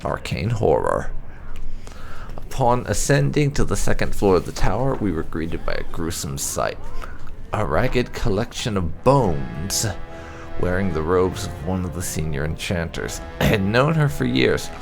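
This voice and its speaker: Low-pitched man reading aloud, gravelly edge. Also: very animated, measured pace, over music. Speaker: gaming channel host. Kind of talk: scripted narration, reading game lore aloud.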